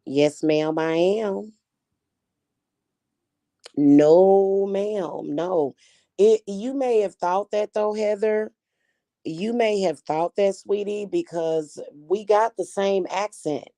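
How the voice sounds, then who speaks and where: A woman's voice: medium pitch, slow pace, warm tone, no echo. A woman in her forties with a Kansas City Midwestern accent, chatting casually with viewers on a livestream in a rambling, slangy, frank way. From Kansas City, Missouri. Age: 40s. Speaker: livestream host reading chat. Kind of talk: casual chat.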